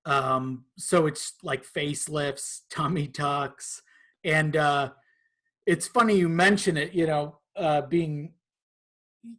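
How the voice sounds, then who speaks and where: A man chatting casually as a podcast guest, in a halting, amused manner. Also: a little high, a bit bright. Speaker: podcast guest, video editor. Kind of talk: casual chat.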